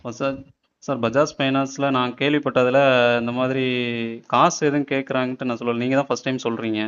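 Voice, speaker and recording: A man's voice: mid-range voice, flat delivery, a little fast, a bit bright, clear, resonant tone. A man on a recorded phone call, questioning an agent persistently as a customer. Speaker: caller asking about a loan.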